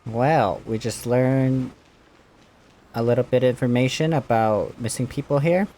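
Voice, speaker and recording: Very low-pitched woman streaming a game. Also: unhurried, warm tone, a little hiss or hum. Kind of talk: casual playthrough commentary, reading some dialogue aloud.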